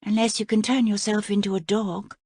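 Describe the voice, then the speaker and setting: A woman voicing an earnest young princess in a high, plaintive girlish voice. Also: level tone, brisk, darkish tone, husky, no echo, clean studio sound.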